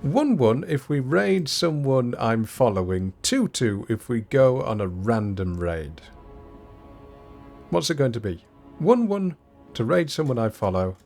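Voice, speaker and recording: A man's voice: mid-range voice, big pitch swings, measured pace, creaky, a little mellow, soft background music. A man streaming a game from home, chatting casually and poking fun at himself while answering viewers. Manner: chatty and self-deprecating, answers viewers' chat aloud. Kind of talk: casual live-stream chat while gaming. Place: home, not soundproofed.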